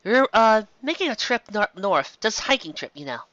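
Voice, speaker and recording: A man's voice: sits high, very animated, normal speed, pushed and bright, no echo, muffled recording, voice pushed up, exaggerated voice. A man voicing a polite, hesitant young female pony in a storybook reading. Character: studious young unicorn mare, polite and hesitant.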